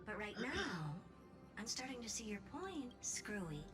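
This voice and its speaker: A woman's voice: on the high side, very animated, a little slow. A woman playing a self-assured, blunt genius scientist in a video game, airy and playfully condescending. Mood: musing, unimpressed. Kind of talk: game character dialogue. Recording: music behind the voice.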